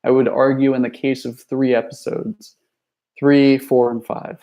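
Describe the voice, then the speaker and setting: A young man talks off the cuff, his voice gravelly with a rough edge. He wanders from thought to thought, stacking fillers and false starts like someone chatting on a livestream. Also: medium pitch, little pitch movement, measured pace, warm tone. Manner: rambling, many fillers and restarts; reads viewer chat messages aloud. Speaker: youtuber. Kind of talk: casual livestream chat.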